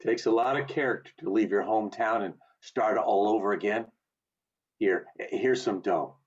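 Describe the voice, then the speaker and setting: A man playing a kindly, plain-spoken small-town loan man in a radio play, folksy and animated, with a husky voice. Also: on the low side, moderate pace. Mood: warm concern. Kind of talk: community theatre radio play acting. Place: home, over video call. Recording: muffled video call audio.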